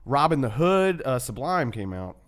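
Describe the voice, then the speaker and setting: A man's voice: medium pitch, very animated, moderate pace. A man co-hosting a podcast in casual chat, enthusiastic and free with swearing. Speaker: podcast co-host. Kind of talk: casual chat listing favorite albums and films.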